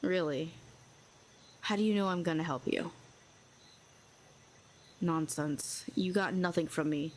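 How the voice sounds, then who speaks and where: A proud telepathic villainess, performed by a woman in an audio roleplay, speaks in a cool, sardonic, needling way. Her voice sits a little low. A faint hiss runs under the recording. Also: slow pace, pauses often. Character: proud telepathic female villain, cynical, lonely.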